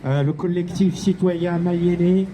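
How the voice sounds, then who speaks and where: A man's voice: sits high, steady tone, measured pace, mellow, a little hiss or hum, poor audio. A man making a public address at an outdoor gathering as speaker for a collective. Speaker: event speaker for a citizens' collective.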